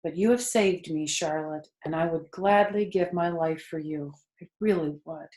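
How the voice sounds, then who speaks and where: A woman's voice: low voice, normal speed, a little mellow, reverberant, close to an everyday voice. A woman voicing an earnest, emotional young male pig in a children's storybook, with a pleading, wide-eyed manner. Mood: earnest devotion. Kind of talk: children's storybook character reading.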